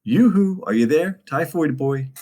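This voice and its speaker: A man's voice: on the high side, animated, unhurried, mellow, pitched above the performer's own voice. A man voicing a cheeky thirteen-year-old girl, playful and mocking, in a storybook reading. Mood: teasing.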